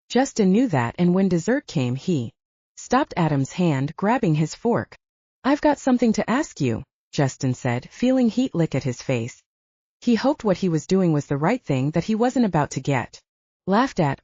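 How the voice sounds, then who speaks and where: Woman audiobook narrator; low, dark, husky voice with lively pitch swings, dry and lo-fi. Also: no echo. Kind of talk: scripted narration of a romance novel.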